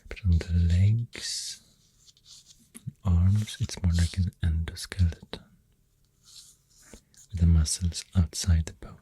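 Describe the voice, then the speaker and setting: A soft-spoken ASMR host with a Swedish accent, half-whispering in a breathy voice and hesitantly rambling up close to the microphone. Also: deep voice, steady tone. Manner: hushed, hesitant, pausing mid-thought. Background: pencil writing sounds.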